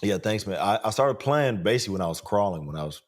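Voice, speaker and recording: A man's voice: on the low side, expressive, fast talker. A young man in his twenties, a guest musician, answering in an easygoing, modest way. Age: 20s. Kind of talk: casual interview talk.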